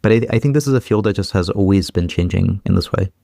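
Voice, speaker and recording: Interview guest: man in his 30s, fast and loose, low-pitched, dry close sound. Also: gravelly, dark tone, no room sound. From Ukraine. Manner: quick, many fillers and repeated restarts. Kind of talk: casual interview chat.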